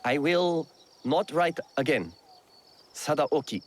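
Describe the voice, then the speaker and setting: An actor playing an eager young man who haltingly reads a letter aloud, stumbling over words. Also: a little high, expressive. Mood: hesitant. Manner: halting, hesitant reading aloud.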